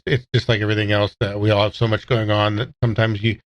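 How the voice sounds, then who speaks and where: A man's voice: fairly low pitch, little pitch movement, quick pace. A man chatting casually on a podcast, recorded on a slightly dull microphone. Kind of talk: casual chat.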